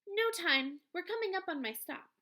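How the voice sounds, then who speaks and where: A woman's voice: very high-pitched, expressive, moderate pace, pushed and bright, voice pushed up, heavily acted. A woman voicing an evasive, wry eighth-grade boy in a children's novel, hesitant and defensive. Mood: hurried. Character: evasive, sardonic eighth-grade boy narrating his life. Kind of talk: children's novel read-aloud.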